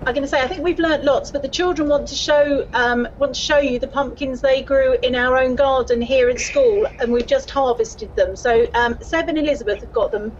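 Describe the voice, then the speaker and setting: A woman's voice: high-pitched, fairly quick, few pauses, dull audio, slight background noise. A woman, a primary school teacher, prompting her pupils while speaking over a video call from her school. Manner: warm with pupils, prompts the children. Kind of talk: speaking to a live video link with her class.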